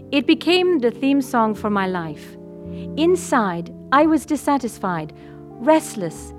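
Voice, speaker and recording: A young woman with an Israeli accent tells her own story in an earnest, reflective voice. Her voice sits high. Soft music plays faintly behind her. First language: Hebrew. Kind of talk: radio drama narration and acting.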